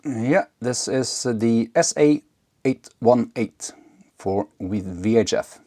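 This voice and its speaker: A man's voice: mid-range voice, slow, mellow. A man giving a casual hands-on demonstration, thinking aloud hesitantly as he works. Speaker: host of an electronics and ham radio build video.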